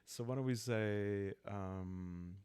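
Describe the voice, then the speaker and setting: Male, deep register, extremely unhurried; calm solo roleplay narrator who pieces the story together aloud. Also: smooth, no echo. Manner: calm, thinking aloud in short halting phrases. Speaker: host and solo player of a journaling roleplaying game. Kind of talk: calm, soothing improvised solo roleplay narration for sleep listening.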